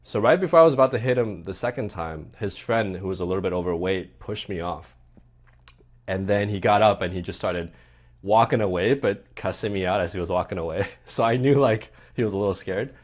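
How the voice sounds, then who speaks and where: A man hosting an interview podcast, relaxed and curious, asking questions and telling his own stories. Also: low-pitched, fairly quick. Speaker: podcast host. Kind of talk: casual interview and storytelling.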